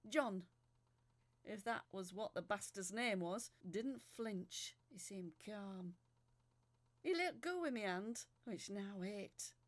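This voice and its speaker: A woman playing a feisty woman recounting a strange ordeal in expressive, sharp-tongued audio drama narration. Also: medium pitch, slow, no echo. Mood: alarmed. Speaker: narrator reading a letter aloud. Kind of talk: dramatic first-person storytelling in audio fiction.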